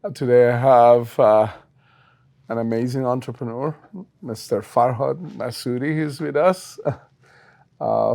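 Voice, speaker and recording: Man, interview host, friendly, hesitant, mid pitch. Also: gravelly, a little mellow. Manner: friendly, hesitant with frequent uhs. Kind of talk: business interview.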